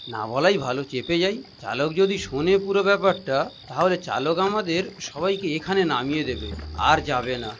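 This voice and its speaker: A secretive, nervous male traveller played by an actor with a very lively pitch. He mutters evasively in a cartoon, over clearly noisy night ambience with crickets. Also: moderate pace, lo-fi sound. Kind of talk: animated cartoon dialogue. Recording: noisy, with crickets and animal sounds.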